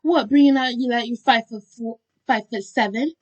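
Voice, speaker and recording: A woman's voice: fairly high pitch, fairly quick, husky, highs cut off, voice pushed up. A woman voicing a stubborn teenage girl who protests with indignant emphasis, in an amateur story reading.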